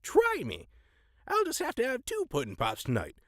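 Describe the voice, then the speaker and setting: A man's voice: very high-pitched, huge pitch swings, moderate pace, no echo, clean studio sound, exaggerated voice. A man playing a cantankerous, folksy old grandpa in an audio drama, blustering with old-timey exclamations. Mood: gruff but caring.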